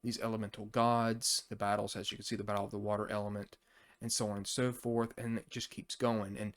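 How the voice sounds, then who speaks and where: A man's voice: lowish voice, little pitch movement, fairly quick. Casual rambling man reviewing games. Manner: conversational, rambling, thinking aloud. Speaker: tabletop RPG review channel host. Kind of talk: casual unscripted overview commentary.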